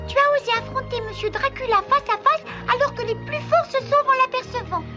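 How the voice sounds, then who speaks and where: A caring little girl animal in a cartoon. The voice is tiny, extremely high and earnestly expressive, over loud background music. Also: fairly quick. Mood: encouraging. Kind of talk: French animated cartoon dubbing.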